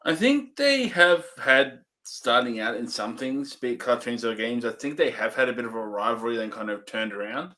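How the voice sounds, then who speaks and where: A man with a bright, forward tone, co-hosting a casual film review. He weighs his words and qualifies his views as he talks. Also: mid-range voice, animated. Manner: measured, hedging opinions. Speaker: movie review co-host.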